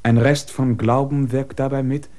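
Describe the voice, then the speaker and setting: An actor in a radio drama playing a messenger who delivers an official decision and reads aloud in a grave, formal manner. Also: male voice, mid-range voice, level tone, moderate pace, mellow, close to an everyday voice.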